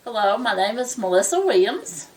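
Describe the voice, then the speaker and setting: A woman in her sixties from Ohio, a former teacher, introducing herself in a friendly, measured way. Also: on the high side, big pitch swings, darkish tone, recorded from a distance, low-quality recording. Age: 60s.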